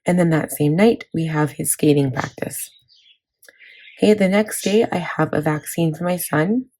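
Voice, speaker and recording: Lady with a sore hoarse throat, low voice, rambly casual chatter, lots of ums. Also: moderate pace, warm tone, poor audio. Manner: chatty, rambling, many fillers. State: hoarse from laryngitis. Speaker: planning vlogger. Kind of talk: casual chat walking through a planner.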